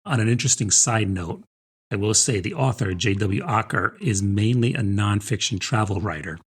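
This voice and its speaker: Male podcast co-host, lowish husky voice, informal and conversational book talk. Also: expressive, normal speed. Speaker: podcast co-host discussing books. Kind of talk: casual book review chat.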